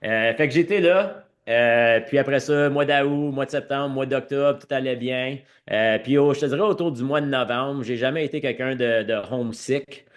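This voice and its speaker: A man's voice: medium pitch, normal speed, few pauses. A man with a French Canadian accent telling his own story conversationally as a podcast guest, sprinkling English words into his speech. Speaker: podcast guest, former hockey player.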